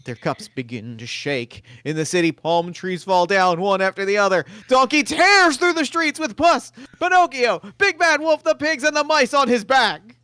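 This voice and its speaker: A man narrating screenplay stage directions in a table read, brisk and matter-of-fact. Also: very high-pitched, animated, pushed and bright, clear, resonant tone, no echo, clean studio sound.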